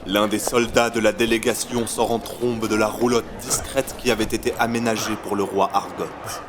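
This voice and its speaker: A man's voice: fairly low pitch, flat delivery, normal speed, background noise. A man reading scripted narration for a fantasy audio story. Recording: loud music under the voice.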